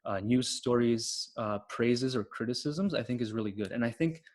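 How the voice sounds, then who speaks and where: A man's voice: mid-range voice, moderate pace. Male panelist, mid-range voice, eager explainer with plenty of fillers, video-call feel. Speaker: panelist, platform founder. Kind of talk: online panel discussion.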